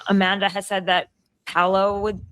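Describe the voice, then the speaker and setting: A woman's voice: medium pitch, flat delivery, normal speed, a bit bright, clear, resonant tone. A woman journalist in a casual podcast conversation, choosing her words carefully and hesitantly. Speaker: investigative journalist.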